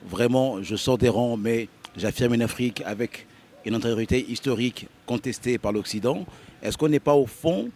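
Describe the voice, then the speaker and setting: A man's voice: medium pitch, normal speed, darkish tone. A man interviewing a guest on a cultural talk show, asking long questions while searching for words.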